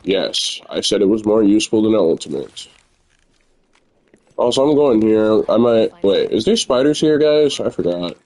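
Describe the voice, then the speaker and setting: Casting: young male gamer, low-ish voice, naturally loud, candid rambling commentary. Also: expressive, normal speed, rough recording. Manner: rambling, candid, filler-heavy. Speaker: gamer streamer. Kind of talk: casual gameplay commentary.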